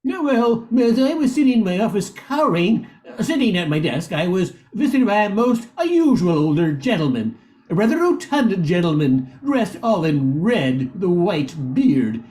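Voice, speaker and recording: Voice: mid-range voice, big pitch swings, normal speed, darkish tone, natural voice. Someone playing a flustered, timid lion police chief. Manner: nervous, hesitant, flustered. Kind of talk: comic radio play acting.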